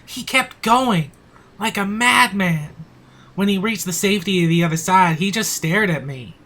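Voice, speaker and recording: Woman playing an exasperated crossing guard, bright voice. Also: mid-range voice, normal speed, lightly acted. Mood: earnest. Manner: dry, sarcastic, incredulous. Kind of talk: comic audio play acting.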